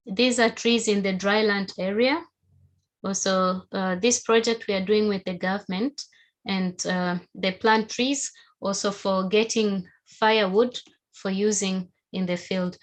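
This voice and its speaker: Tanzanian woman presenting in a webinar. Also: mid-range voice. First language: Haika. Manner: steady, explanatory, with occasional fillers.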